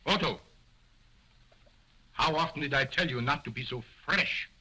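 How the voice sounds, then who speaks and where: An actor playing an arrogant, quick-tempered male ventriloquist in an early talkie film, boastful and scolding. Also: medium pitch, big pitch swings, sharp tone, husky, heavily acted, rough recording. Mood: bossy.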